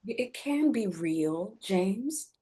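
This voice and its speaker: A woman's voice: mid-range voice, animated, extremely slow, warm tone. A woman playing an earnest, hopeful bereaved mother in a dramatic script reading, pleading and placating yet firm as she argues for forgiveness.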